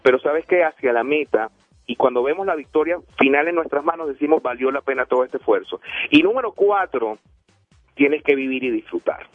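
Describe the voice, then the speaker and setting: A man's voice: medium pitch, animated, a little fast, no room sound, faint music behind. A man from Venezuela hosting a motivational radio show, speaking emphatically and repeating himself for effect. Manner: preachy, emphatic, repeats phone numbers. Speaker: radio host. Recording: tinny phone-like line.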